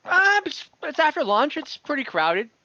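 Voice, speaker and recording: A man narrating as game master of an online tabletop game, describing the scene in a relaxed way with small restarts. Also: extremely high pitch, normal speed, dry recording. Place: home room over online voice chat. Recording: dull online call audio.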